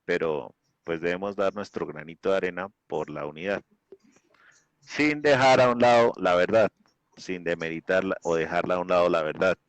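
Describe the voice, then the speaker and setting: Low Colombian man's voice, dry. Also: lively intonation, moderate pace, no echo, poor audio. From Colombia, Boyacá. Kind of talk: extemporaneous religious debate introduction.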